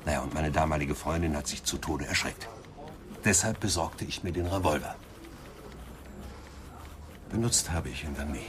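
An actor playing a vain, defensive older psychoanalyst under interrogation in a radio crime drama, testy and sardonic. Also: male voice, a bass voice, normal speed, pauses often, crowd noise. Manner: testy, self-important, sardonic.

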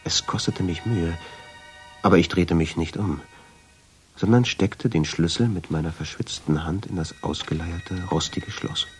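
A guilt-ridden man recounting his own tale for a radio drama. His voice sits very low. He speaks in measured, literary sentences with a brooding, wry undertone. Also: music in the background. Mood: uneasy, tense. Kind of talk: scripted first-person radio drama narration.

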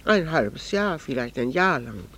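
Casting: a middle-aged wife of quiet, renounced passion, composed and earnest, formal diction, vintage radio play. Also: female voice, sits low, sing-song, measured pace, heavily acted. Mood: confessing, resolute. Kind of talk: 1960s German radio drama acting.

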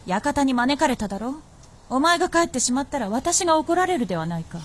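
An actress playing a sly, bold princess of feudal Japan in a period radio drama, with poised, archaic speech. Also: female voice, sits high, big pitch swings, fast talker. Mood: frank, bossy.

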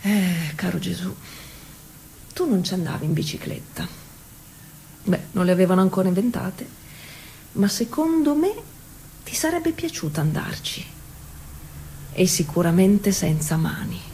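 An actress playing a plucky, playful 1920s racing cyclist in a musing, colloquial theatrical monologue. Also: female voice, fairly low pitch, animated, measured pace, pauses often, mellow. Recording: noisy ambient background.

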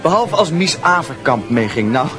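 A man's voice: fairly high pitch, big pitch swings, normal speed. A male actor in a Dutch radio drama playing a brash, chatty young man, blunt and breezy. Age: young adult. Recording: music and crowd of dance hall behind.

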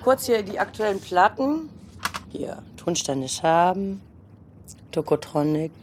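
A woman's voice: lowish voice, lively intonation, slow. Woman chatting casually over faint room noise. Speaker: participant exploring a stranger's flat. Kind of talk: casual chat. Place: indoors in a private flat.

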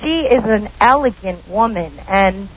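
A woman's voice: medium pitch, animated, a little slow, slight background noise. A young woman calling in, speaking earnestly in praise of someone. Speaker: caller, the guest's daughter. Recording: muffled broadcast recording.

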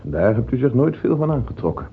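An actor the playing a weary, cynical chancellor in a radio drama, answering his king with measured, ironic politeness. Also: medium pitch, sing-song, gravelly, breathy edge, exaggerated voice. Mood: cool irony. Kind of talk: theatrical radio drama acting. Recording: dull, lo-fi old radio recording.

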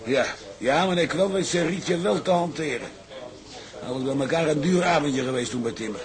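An actor playing a bitter, resigned out-of-work tugboat sailor in a radio drama, confiding gossip in a halting way. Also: male voice, on the high side, natural voice. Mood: wry. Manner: halting, conspiratorial storytelling with repetitions. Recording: crowd murmur behind dialogue.

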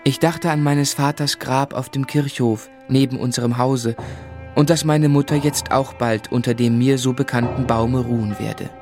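A man's voice: medium pitch, steady tone, normal speed, a little mellow, close to an everyday voice. A man playing an adult looking back on his childhood, narrating a literary radio play in the first person. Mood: sombre, reflective. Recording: faint music behind.

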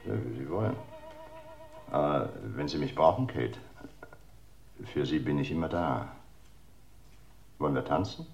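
An actor playing a weary, solicitous middle-aged bookkeeper in a radio crime drama, hesitant and confiding. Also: male voice, a bass voice, lively intonation, measured pace, creaky, long pauses, darkish tone, lo-fi sound, heavily acted. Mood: ingratiating. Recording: roomy radio drama recording, faint music.

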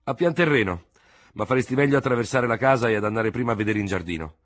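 A man's voice: mid-range voice, lively intonation, fast talker, fairly bright tone, pitched above the performer's own voice, natural voice. A man playing a terse, irritated young army lieutenant in a read-aloud novel. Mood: curt, urgent. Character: curt, rattled young male army lieutenant.

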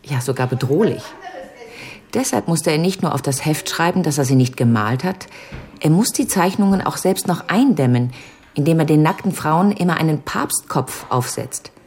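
Deep-voiced, inquisitive woman. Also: expressive, moderate pace, darkish tone. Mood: probing, thoughtful. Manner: questioning, tentative, thoughtful. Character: inquisitive, thoughtful great-niece of a patient. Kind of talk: radio drama dialogue.

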